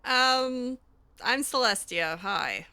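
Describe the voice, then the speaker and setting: Slow-paced, bright-voiced woman gamer. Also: a little high, lively intonation, clean tone, no echo. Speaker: gaming video host, role-player. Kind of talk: casual in-character gameplay commentary.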